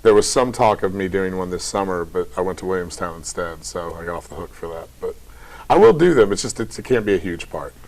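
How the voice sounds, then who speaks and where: A young man from Kentucky with a very deep voice, chatting casually in a TV interview as a theater director. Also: a little fast, rough recording. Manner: relaxed, chatty, light laughs.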